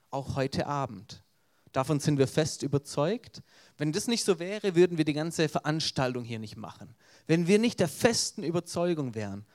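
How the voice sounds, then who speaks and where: A man's voice: on the high side, a little mellow. A man with a Swabian accent, an evangelist giving an earnest evangelistic sermon with storytelling.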